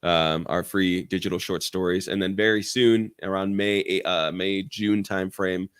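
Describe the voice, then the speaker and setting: A man talking casually as a podcast guest, easygoing and halting with frequent ums. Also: deep voice, steady tone, a bit bright, smooth, no echo, studio quality. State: recently over the flu. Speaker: podcast guest, children's author.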